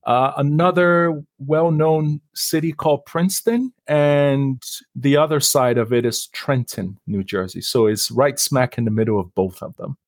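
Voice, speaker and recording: A man's voice: medium pitch, unhurried, hardly any pauses, no echo, studio quality. A man from Nigeria, a guest on a podcast interview, talking in a friendly, reflective way and laughing easily. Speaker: guest, councillor and author.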